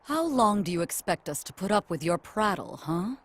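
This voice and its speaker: A woman's voice: medium pitch, very animated, normal speed, slight background noise. A woman voicing a stern, commanding warrior in a video game cutscene, scolding in a no-nonsense way. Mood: exasperated.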